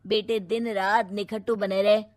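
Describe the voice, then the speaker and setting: A woman's voice: medium pitch, level tone, normal speed, darkish tone, no echo, studio quality, close to an everyday voice. A woman playing an opinionated young character in a cartoon story, arguing and explaining a point. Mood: indignant. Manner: argumentative, explanatory. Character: opinionated young person arguing for daughters' fair treatment.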